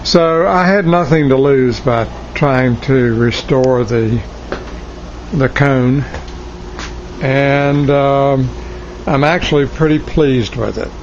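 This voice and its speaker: Male hobbyist restorer, unhurried and hesitant, casual workshop demo on a lo-fi camera mic with faint background noise. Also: mid-range voice. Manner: hesitant, halting, thinking aloud. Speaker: hobbyist restorer of vintage radio gear. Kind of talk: casual, unscripted show-and-tell. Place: cluttered indoor electronics workshop. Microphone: handheld camera mic, behind the lens.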